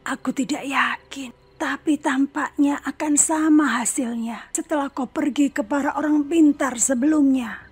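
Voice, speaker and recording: A woman voicing a calm, self-assured psychic in a horror cartoon, speaking in a measured, often hushed way. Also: high-pitched, faint music behind. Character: calm female psychic, middle-aged, sure of herself. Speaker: paranormal.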